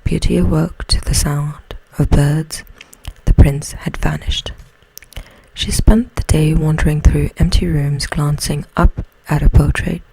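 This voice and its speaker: A woman reads a bedtime tale in ASMR style. Her whisper is soothing and unhurried, dark and very breathy, with a creaky edge. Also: low voice, steady tone, rough recording. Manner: gentle, slow, soothing. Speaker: bedtime story narrator. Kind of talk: ASMR, whispered storybook narration.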